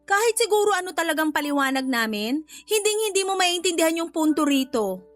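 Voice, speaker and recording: A wounded adult daughter in a radio drama, standing firm beside her mother. Her voice is high, polite yet defiant, with soft music underneath. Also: big pitch swings, brisk. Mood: firm, reproachful.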